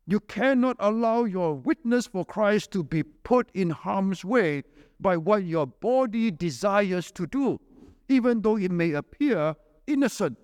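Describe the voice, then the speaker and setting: A man's voice: expressive, measured pace, no echo, studio quality. A man preaching a sermon, telling a personal story and building to emphatic, repeated lists. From Malaysia. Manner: repetitive emphatic lists, rising to forceful pitch.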